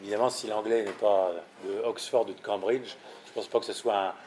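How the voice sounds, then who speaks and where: A man's voice: on the low side, fairly even intonation, brisk, darkish tone, slight background noise. Male moderator, casual, interjecting, conversational. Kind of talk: group discussion.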